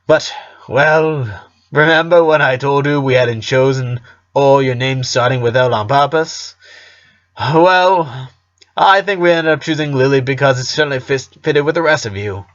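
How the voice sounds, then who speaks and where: A man's voice: medium pitch, measured pace, a bit bright, muffled recording, natural voice. A man reading the part of an earnest, sentimental middle-aged father in a story, explaining things in a heartfelt way. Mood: animated, excited.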